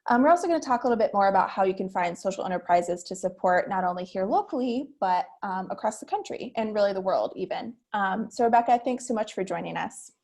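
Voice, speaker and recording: Woman, medium pitch, interviewer, conversational event hosting. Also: expressive, quick pace, hardly any pauses, darkish tone. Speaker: interviewer, editor.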